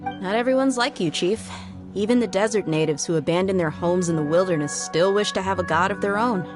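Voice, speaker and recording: A woman's voice: mid-range voice, brisk, lightly acted. Savvy young female guard from a video game, acted by a woman, candid, conversational, music playing behind. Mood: earnest, friendly.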